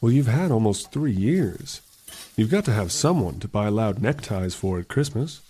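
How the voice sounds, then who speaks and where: A man's voice: lowish voice, lively intonation, normal speed, warm tone, husky. A man playing a genial, patient, wry lawyer suitor in an old-time radio drama. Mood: teasing.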